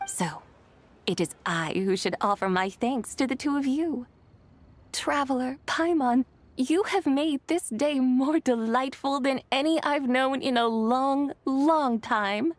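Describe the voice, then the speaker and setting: A woman's voice: sits high, slow pace. A woman playing an ancient, gracious and wistful immortal in a video game, speaking in an eloquent, serene, poetic manner. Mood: gentle, grateful.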